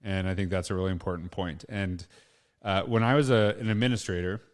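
Casting: middle-aged Canadian man, low voice, informal interviewer who thinks aloud and rephrases as he goes. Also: fairly even intonation, fairly quick. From Canada, small town Saskatchewan. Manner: informal, rambling, self-rephrasing. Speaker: podcast host. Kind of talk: casual conversational interview.

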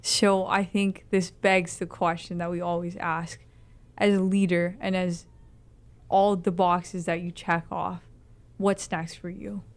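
A woman co-hosting an interview, asking a question in a measured, level way. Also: lowish voice, flat delivery. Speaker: co-host.

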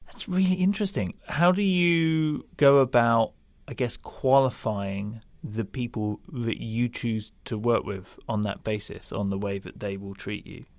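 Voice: mid-range voice, unhurried, darkish tone, dry recording. An interviewer asking follow-up questions in a casual, affirming way.

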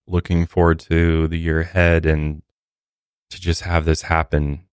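A man's voice: a bass voice, level tone, measured pace, clear, resonant tone, no echo, clean studio sound. A man hosting a true crime podcast, narrating in an earnest, sympathetic, conversational way.

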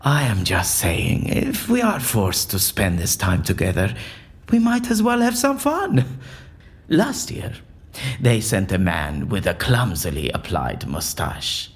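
An actor playing an eccentric, erudite man in an audio drama, speaking in a florid, pompous and animated way. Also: fairly low pitch, takes it slowly, full character voice. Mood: chatty, whimsical. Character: eccentric, theatrical, erudite man, convinced his interrogation is staged.

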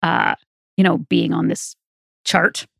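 Lowish-voiced woman co-host with vocal fry. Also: huge pitch swings, takes it slowly, a bit bright, no echo, studio quality. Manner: conversational, anecdotal. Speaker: podcast co-host. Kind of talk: casual chat, reflecting on the show.

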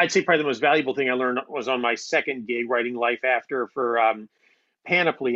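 A man's voice: mid-range voice, fairly bright tone, no room sound, dull audio. A man giving conversational interview answers as a writer, explaining articulately and repeating phrases for emphasis.

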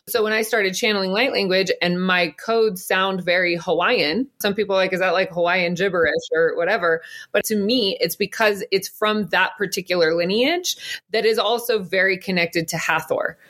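A middle-aged woman answers interview questions in an earnest, flowing way. She speaks at a mid pitch and often laughs. She sounds like a podcast guest sharing her experiences. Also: fairly bright tone. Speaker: podcast guest, psychic and business coach. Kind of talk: spiritual interview talk.

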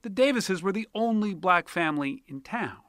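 Bright lively male news narrator. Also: sits high, normal speed, no echo, studio quality. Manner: polished, storytelling. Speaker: TV news correspondent.

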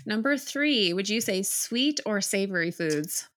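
A woman hosting a podcast, chatting casually and asking her guest upbeat quick-fire questions. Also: medium pitch, lively intonation, fairly bright tone, a little hiss or hum. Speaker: podcast host. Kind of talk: casual interview chat.

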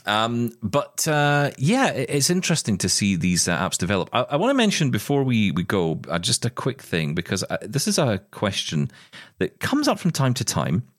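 A man's voice: mid-range voice, huge pitch swings, normal speed, no room sound, studio quality. A man co-hosting a podcast from a spare room at home, chatty and jokey. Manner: chatty, jokey, acts out quoted exchanges. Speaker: podcast co-host. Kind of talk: casual chat. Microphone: remote recording.

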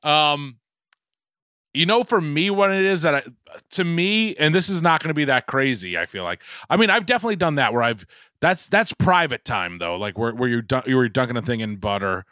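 A man's voice: fairly high pitch, expressive, brisk, pushed and bright, no room sound, clean studio sound. A man co-hosting a comedy food podcast, rambling with many restarts and lots of swearing. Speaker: podcast co-host. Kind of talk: casual comedic chat.

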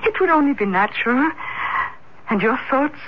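Tremulous lady in love, high voice, slow and sighing, old radio drama, noisy recording. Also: expressive. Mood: tremulous wonder. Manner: breathy sighing exclamations, hesitant, melodramatic. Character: mysterious beautiful woman, tremulous, yielding, romantic lover. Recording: muffled, noisy vintage radio broadcast.

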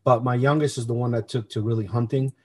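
A man's voice: mid-range voice, steady tone, quick pace, dark tone, husky. Earnest middle-aged man telling his story. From born in Colombia, grew up in New Jersey. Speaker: interview guest. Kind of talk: conversational personal storytelling.

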